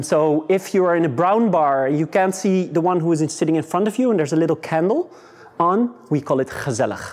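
A young man with a Dutch accent teaching a lively, encouraging beginners' language class, slowing down to model pronunciation. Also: a little high. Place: large open classroom with students seated around.

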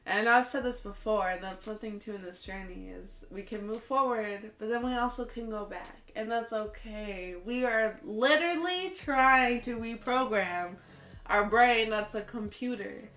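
A woman's voice: a little high, roomy sound. A woman in her late twenties, slightly congested from a cold, talking candidly to camera in her bedroom, earnest and laughing often. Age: late 20s. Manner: earnest, expressive, laughs often, slow unhurried talk. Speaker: vlogger. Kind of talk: candid personal chat to camera. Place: bedroom with hanging lights, indoors.

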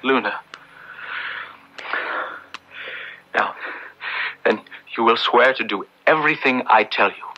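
Intense, bright-voiced young clergyman, old radio drama. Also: mid-range voice, gravelly. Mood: tender, hopeful. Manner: halting, urgent, repeating himself. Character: earnest, devout, strong young clergyman rescuing a prisoner. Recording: dull, noisy old radio drama recording.

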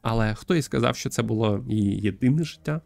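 A man in his thirties from Ukraine, thoughtfully and haltingly commenting on a visual novel as he livestreams on a close mic. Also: medium pitch, creaky, darkish tone, no echo. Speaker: game streamer. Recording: music behind the voice. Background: background game music.

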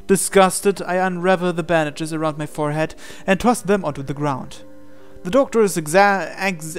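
A man reading a visual novel aloud as dramatic narration. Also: a little high.

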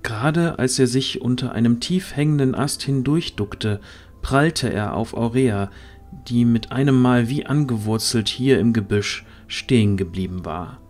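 A man narrating a fantasy audio drama as scripted storytelling, in a deliberate, suspenseful manner. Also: mid-range voice, darkish tone, soft background music.

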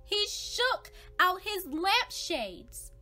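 Female picture-book narrator, high bright voice, dramatic and suspenseful delivery, music underneath. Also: sing-song, very slow. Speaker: children's read-aloud narrator. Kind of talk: expressive storybook narration. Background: background music.

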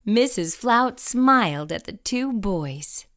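A woman storyteller reading a children's picture book aloud, expressive and carefully phrased. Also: medium pitch, slow pace.

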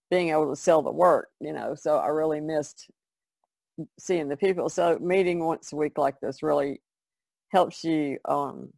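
Gravelly-voiced woman, casual chat. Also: deep voice, a little mellow, no echo. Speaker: podcast regular, artist. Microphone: video call.

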